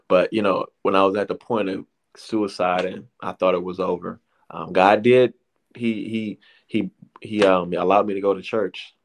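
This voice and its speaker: A man's voice: low voice, gravelly. A man in his thirties from the Detroit area, telling his life story in a rambling, slangy way as an interview guest. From Detroit area, USA. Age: 30s. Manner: rambling, many restarts and slang. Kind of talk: casual personal testimony.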